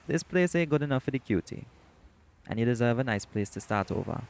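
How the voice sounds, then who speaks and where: A man's voice: medium pitch, expressive, a little fast, gravelly, darkish tone. A man playing a teasing, protective shapeshifter boyfriend in a romantic audio roleplay, with a Caribbean accent and emphatic, coaxing Creole speech. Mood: teasing, insistent.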